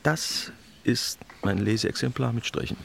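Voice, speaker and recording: An actor playing an evasive, guarded author and former lover, speaking in a terse, understated way in an intimate literary radio play. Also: male voice, mid-range voice, measured pace, a bit bright, a little hiss or hum. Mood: subdued, guarded. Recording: music clearly behind the voice.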